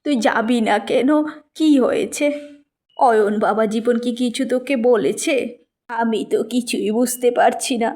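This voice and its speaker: Woman as worried older mother, fairly high voice. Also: a little slow, a little mellow.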